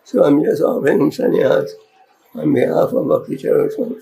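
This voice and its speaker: This is an older man giving a ceremonial address. His voice is soft and worn, with a heavy gravelly rasp and a mellow, dark tone. He speaks in short, halting phrases and leaves room for a translator after each one. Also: a little high. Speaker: swami giving initiation.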